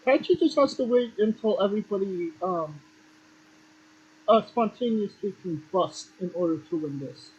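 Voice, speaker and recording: Podcast host, a man with a husky voice, plain and matter-of-fact with offhand swearing, muffled home mic. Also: high voice, expressive, normal speed, darkish tone. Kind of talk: casual wrestling chat.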